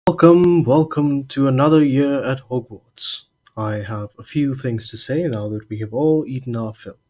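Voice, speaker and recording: A man playing an aged headmaster wizard in an audio drama, genial yet grave, giving measured formal oratory in a husky voice. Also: medium pitch, darkish tone, close to an everyday voice. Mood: genial, welcoming. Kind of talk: fan audio drama, formal speech to a hall.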